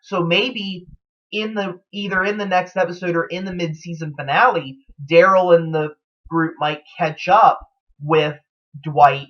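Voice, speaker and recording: A man's voice: sits high, steady tone, a little slow, fairly bright tone. A man giving casual, speculative commentary as a vlog host, in a hesitant, rambling manner. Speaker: host of a TV show theory vlog. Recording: dull, lo-fi recording.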